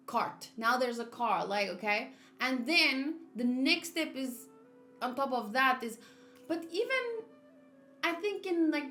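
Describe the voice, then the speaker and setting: Female streamer: casual, halting, musing commentary, highish voice, roomy sound with faint music behind. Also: sharp tone. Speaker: game streamer.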